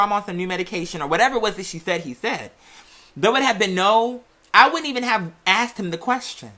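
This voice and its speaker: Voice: mid-range voice, pushed and bright. A sassy, blunt commentator chatting casually in slangy speech and giving opinionated takes on gossip.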